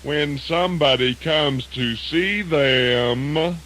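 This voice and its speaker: An actor playing a sly, folksy trickster rabbit in a children's radio drama, with a musing Southern American drawl. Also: male voice, fairly high pitch, a bit bright. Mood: gleeful taunting. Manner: slow drawl, musing aloud, exaggerated whoops. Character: sly, folksy male trickster rabbit, mock-mournful and gleeful. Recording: hissy 1980s radio drama recording.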